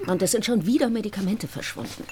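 A woman's voice: medium pitch, fast talker, noisy background, lightly acted. An actress playing a frank working woman in a naturalistic radio crime drama. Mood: exhausted, reproachful.

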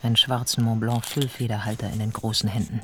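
A man giving a scripted radio play narration in a measured, deliberate, descriptive manner. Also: lowish voice, little pitch movement, bright, forceful tone, a little hiss or hum.

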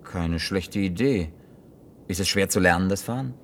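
An actor dubbing an awkward, gruff man wandering alone after the end of the world, muttering curses. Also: deep voice, normal speed, fairly bright tone. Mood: wary. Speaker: Jim, survivor on foot. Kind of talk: German film dub.

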